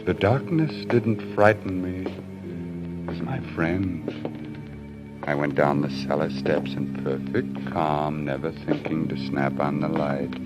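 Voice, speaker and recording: A man's voice: rumbling low, slow pace, low-quality recording. An actor playing a tormented, menacing hunter husband losing his sanity, in a theatrical, intense old-time radio thriller style. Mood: brooding, trancelike. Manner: theatrical, sarcastic, brooding, intense. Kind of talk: old-time radio thriller acting and first-person narration. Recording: old radio recording with music behind.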